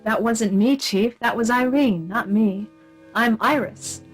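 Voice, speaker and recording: A woman's voice: a little high, slow pace, husky, faint music behind. An actress playing an earnest, scatterbrained female secret agent in a comic spy radio play. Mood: earnest, puzzled.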